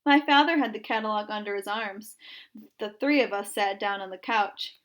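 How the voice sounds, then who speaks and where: A woman's voice: medium pitch, moderate pace, fairly bright tone. A woman reading a children's story aloud in a narrating style. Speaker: storybook reader.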